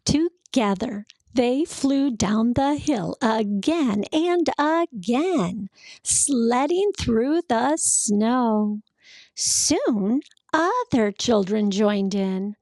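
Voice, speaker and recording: A woman narrating a children's picture book in a careful, expressive storytelling manner. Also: on the high side, drawn-out words, no room sound. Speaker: read-aloud storyteller.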